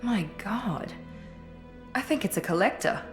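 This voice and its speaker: An actress playing a sardonic human operative with a dry manner. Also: female voice, medium pitch, lively intonation, a little slow, music in the background, natural voice.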